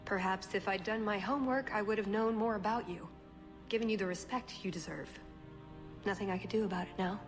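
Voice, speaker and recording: Casting: a woman to play a self-assured, wry game spy, composed and measured, medium pitch, soft music underneath. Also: lightly acted. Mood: frank. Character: poised female spy, cool, philosophical, self-assured. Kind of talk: video game voice acting.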